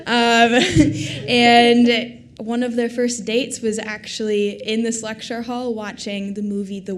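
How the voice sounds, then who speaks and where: Young woman nervously introducing a speaker. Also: medium pitch. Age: 20s. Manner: reads with nervous fillers. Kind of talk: formal introduction. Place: lecture hall.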